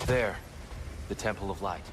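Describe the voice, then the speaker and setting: An actor playing a gentle, weary, peace-loving giant of a god in a cinematic video game, measured and melancholy. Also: male voice, low-pitched, very noisy, natural voice. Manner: soft-hearted, measured, melancholy. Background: loud game music.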